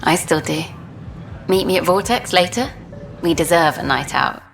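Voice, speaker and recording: Earnest, lower-voiced reporter. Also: female voice, expressive, moderate pace, vocal fry, soft background music. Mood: determined, warm. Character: earnest, bold female documentary reporter. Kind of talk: video game dialogue. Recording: faint background noise.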